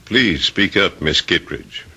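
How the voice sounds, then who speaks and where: An actor playing a formal, authoritative coroner in a radio drama, official and deliberate. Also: male voice, sits low, animated, pushed and bright, husky, exaggerated voice. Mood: stern, formal. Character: formal, authoritative coroner presiding over an inquest. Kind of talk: old-time radio drama acting.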